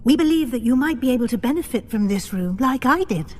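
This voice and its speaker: Husky British witch professor. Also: on the high side, animated, brisk. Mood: instructive. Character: brisk, encouraging female witch professor. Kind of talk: video game dialogue acting.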